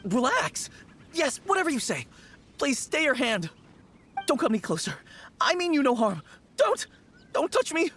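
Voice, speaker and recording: High-voiced, timid young undertaker. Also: male voice, huge pitch swings, moderate pace, long pauses, a bit bright, a little hiss or hum, exaggerated voice. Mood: terrified. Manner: stammering, pleading, polite. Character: timid, easily terrified young male rookie undertaker. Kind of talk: animated video game voice acting.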